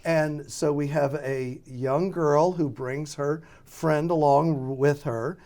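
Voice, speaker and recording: An American man being interviewed as a radio drama producer, talking casually and haltingly as he thinks aloud. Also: fairly high pitch, fairly even intonation, mellow.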